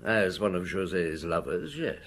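A man's voice: deep voice, very animated, unhurried, a bit bright, full character voice. An actor playing a shrewd, weary middle-aged male police detective in a radio drama, questioning people in a probing, matter-of-fact way. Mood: dry, questioning.

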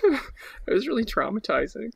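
A man's voice: high voice, huge pitch swings, normal speed, gravelly, a bit bright, slightly rough, dry recording. A man in his twenties narrating and playing improvised comedy, recorded at home on a noisy video call. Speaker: improv comedy podcast performer. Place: small room at home.

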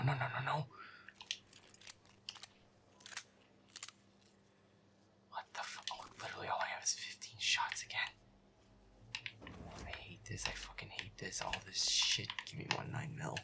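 A man playing a feisty, foul-mouthed boyfriend who mutters exasperatedly while playing a scary video game, often in a breathy whisper. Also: lowish voice. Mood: nervous, irritated. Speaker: audio roleplay performer. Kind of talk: casual gaming commentary in boyfriend audio roleplay.

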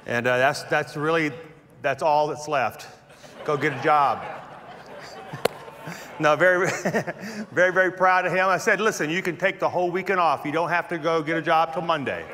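A man's voice: on the high side, moderate pace, long pauses, background noise. Middle-aged guy preaching from a big church stage, kinda jokey, long reverb in the room. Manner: chatty and joking, laughing at his own jokes. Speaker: pastor. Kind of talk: sermon. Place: large church stage indoors.

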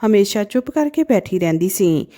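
A woman narrating a sad moral story from a script in a gentle, emotive storytelling manner. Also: medium pitch, expressive, fairly quick.

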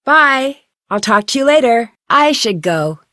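A woman's voice: fairly high pitch, sing-song, unhurried, sharp tone, no echo, clean studio sound, heavily acted. An actress playing a curious, polite and determined young female detective in scripted, conversational video game dialogue. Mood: inquisitive.

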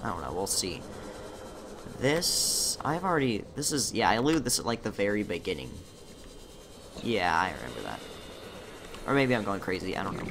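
A young man commentating his own gameplay in a casual, chatty way, muttering to himself, his voice slightly rough from a recent illness. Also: fairly low pitch, very animated, normal speed, long pauses, noisy background. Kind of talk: casual let's-play commentary.

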